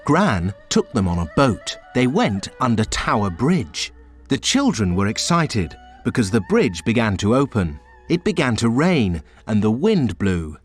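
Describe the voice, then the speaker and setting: A man reading a children's storybook aloud in a slow, very expressive storytelling way. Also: medium pitch, faint music behind. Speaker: storybook reader. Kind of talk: children's read-aloud narration.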